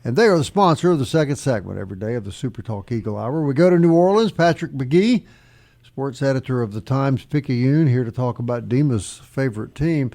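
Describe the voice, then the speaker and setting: A man's voice: mid-range voice, lively intonation, a little fast, a little mellow. A man hosting a sports talk radio show, moving between interview questions and live sponsor reads.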